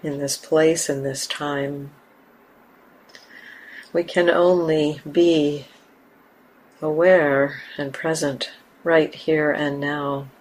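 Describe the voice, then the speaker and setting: Woman, meditation teacher, low-pitched for a guide of calm practice, flat even intonation, gentle, unhurried pauses, faint room hiss. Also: rough recording. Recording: steady background hiss and room ambience.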